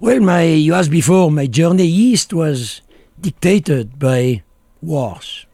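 Middle-aged French man with a mellow voice. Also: a little high, animated, slow, creaky, no echo. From France. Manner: blunt, short broken phrases. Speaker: guest. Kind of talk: casual interview chat.

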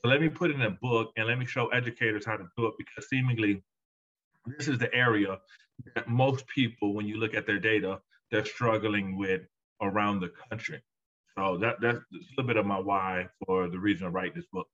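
A man's voice: fairly low pitch, brisk, a bit bright, dull audio. A man, a guest author and education consultant, answering interview questions emphatically, his voice rising when he stresses a point. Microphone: call audio.

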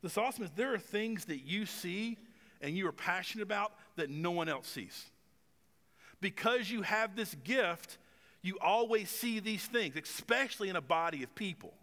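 Bright-voiced pastor in a reverberant hall. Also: male voice, sits high. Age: 40s. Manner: conversational and self-deprecating, with stumbles and fillers. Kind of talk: sermon. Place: church stage with long reverb.

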